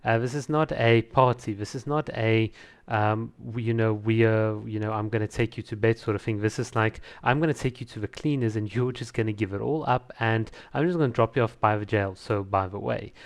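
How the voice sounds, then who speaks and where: A man's voice: lowish voice, brisk. Emphatic man giving casual reaction commentary. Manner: emphatic, repeats words for stress. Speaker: video reviewer.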